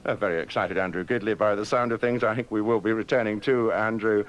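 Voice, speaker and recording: A man presenting a radio sports bulletin in a steady announcing voice. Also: lowish voice, fast. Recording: archive radio broadcast with music.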